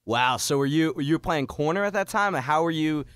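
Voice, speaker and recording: A man's voice: on the high side, expressive, brisk, a bit bright, smooth, dry recording, studio quality. A young man hosting a pre-game sports radio interview, asking questions in a conversational way.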